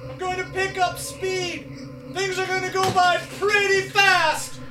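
An actress playing an excitable, frantic diner engineer in an audio drama. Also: female voice, very high-pitched, a little slow, heavy background noise. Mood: tense.

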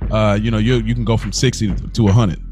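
A man's voice: on the low side, level tone, fast, a little mellow. Slangy American man chatting over music. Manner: slangy, emphatic, repeats himself. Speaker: podcast co-host. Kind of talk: casual chat.

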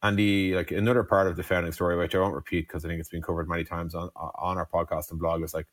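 A man's voice: deep voice, flat delivery, fast, no room sound. An Irish man telling startup stories casually as a co-founder in an interview, digressing and peppering his talk with "like" and "you know". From Ireland. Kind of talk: casual conversational storytelling.

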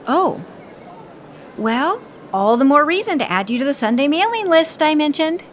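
A woman's voice: high-pitched, sing-song, moderate pace. A woman acting in an audio drama as a polite, solicitous club volunteer. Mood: curious. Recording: clear background noise.

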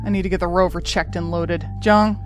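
An actress playing a brisk, businesslike female boss on a moonbase in a sci-fi audio drama. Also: on the low side, steady tone, soft background music, lightly acted.

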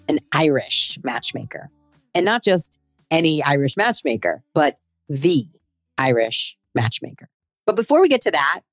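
Woman in her forties, podcast host, low voice, dry close sound, candid, self-deprecating, chatty narration. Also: no echo. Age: 40s. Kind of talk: scripted personal narration.

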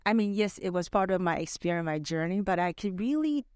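A woman's voice: on the low side, expressive, a little fast, darkish tone, clear, resonant tone, no room sound, clean studio sound. A middle-aged woman who grew up in Canada, Mandarin her first language, telling stories as a podcast co-host, rambling with many fillers. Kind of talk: casual podcast chat.

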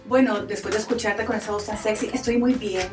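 A woman's voice: mid-range voice, steady tone, fairly quick, echoey room, distant mic, music in the background. A woman co-hosting a talk show, bubbly and enthusiastic in casual chat. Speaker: co-host.

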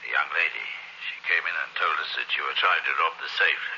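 Casting: male police sergeant for radio drama, low forceful voice, urgent questioning, muffled vintage recording. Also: steady tone, quick pace, heavily acted. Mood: brisk questioning. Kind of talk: old-time radio drama acting. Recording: muffled old radio recording, faint hiss.

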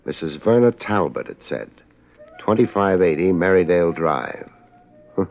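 An American actor playing a wry, laid-back but tough private investigator in a 1950s radio drama. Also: male voice, low-pitched, moderate pace. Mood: wry, watchful. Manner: laid-back, wry, hard-boiled delivery. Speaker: lead actor and narrator. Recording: muffled old radio recording, music behind.

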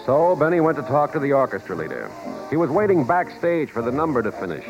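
An actor playing a brisk, loyal showbiz pal who narrates a 1950s radio drama, with music behind. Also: male voice, mid-range voice, very animated, lo-fi sound. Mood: recounting.

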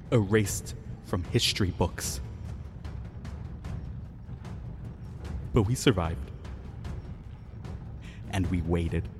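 Vengeful Mayan guide, low voice, very slow delivery, mocking, menacing, audio drama. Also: male voice. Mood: bitter, accusing. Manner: taunting, deliberate, menacing. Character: vengeful Mayan man, mocking guide luring intruder to doom.

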